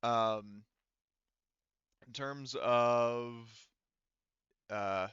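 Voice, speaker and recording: A man's voice: mid-range voice, drawn-out words, a bit bright, clear, resonant tone, dry recording, highs cut off. A man running a tabletop roleplaying game as game master, speaking casually and swearing freely. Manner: casual, profane.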